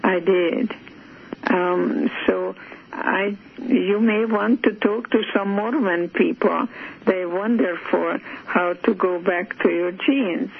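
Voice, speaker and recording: A woman's voice: medium pitch, steady tone, vocal fry. Older Hungarian woman on a phone line. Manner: slow, hesitant, with many fillers and restarts. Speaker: guest. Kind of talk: phone interview. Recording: tinny phone line, faint hiss.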